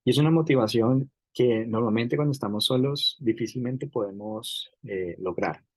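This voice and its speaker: A middle-aged man giving an academic lecture, explaining through hypothetical examples and often pausing on "digamos". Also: mid-range voice, a little mellow, husky, no room sound, rough recording. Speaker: university lecturer.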